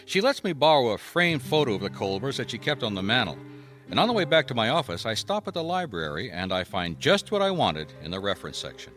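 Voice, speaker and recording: An actor playing a dogged, skeptical private detective in a 1950s radio drama, wry and colloquial. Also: male voice, medium pitch, big pitch swings, fast, fairly bright tone, soft background music. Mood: matter-of-fact narrating. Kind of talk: radio detective drama acting, with first-person narration.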